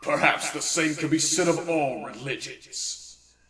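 A man's voice: on the high side, measured pace, bright, forceful tone. A man playing a pompous, contemptuous vampire lord in a video game, with theatrical delivery. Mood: haughty. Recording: echoey, distant-sounding.

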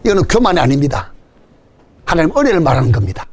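An older man with a Gyeongsang accent preaching an animated sermon at a stand mic on a church stage, calling for amens and joking with the congregation. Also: a little high, fast talker, vocal fry. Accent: Gyeongsang Korean. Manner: animated preaching with call-and-response and jokes. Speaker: pastor. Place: church stage before a banner. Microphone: stand mic in front.

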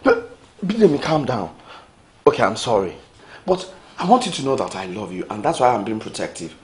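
A high, quick, restless voice swings wildly in pitch as it goes. It is a controlling young man with a Nigerian accent, loud and domineering. The delivery is scornful and pushy. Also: expressive, full character voice. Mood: pleading. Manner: shouting, insulting, domineering. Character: jealous, controlling young man who bullies.

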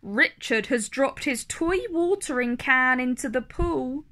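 A woman storyteller reading a children's storybook aloud, expressive and carefully enunciated for young listeners. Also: sits high, measured pace.

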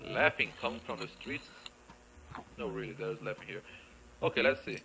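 Very gravelly man, playful gamer commentary. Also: medium pitch, moderate pace, sharp tone, slight background noise, rough recording. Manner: rambling, playful, thinking aloud. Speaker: gameplay video creator. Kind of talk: casual let's play commentary.

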